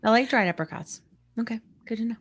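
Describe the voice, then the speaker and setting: A woman's voice: fairly high pitch, fast, slight background noise. A woman hosting a podcast, chatting casually and playfully in an informal way from home. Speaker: podcast host. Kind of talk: casual group chat. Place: indoors at home.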